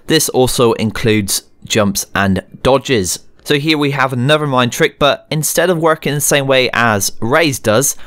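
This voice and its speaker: A man with a mid-pitched voice delivers gaming news commentary as a channel host. He talks straight to his viewers in an informal way, moving between prepared script and his own remarks. The voice-over is close and dry, with very little room sound. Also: fairly bright tone, no echo. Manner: addresses viewers directly, informal.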